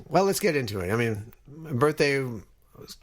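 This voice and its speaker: A man's voice: mid-range voice, animated, moderate pace. A man of fifty hosting a podcast in a casual, conversational style, talking directly to his listeners as he introduces things. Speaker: podcast host.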